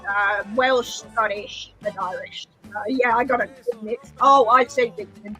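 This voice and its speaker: A woman's voice: a little high, fairly even intonation, bright, forceful tone, faint music behind, rough recording. A woman joining a livestream as a viewer, friendly and polite in casual chat. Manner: friendly, polite, talks in quick bursts.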